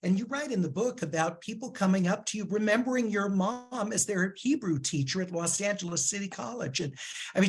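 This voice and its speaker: Husky man, fairly high voice, measured interviewer, live onstage event. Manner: measured, with fillers. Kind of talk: live onstage book conversation.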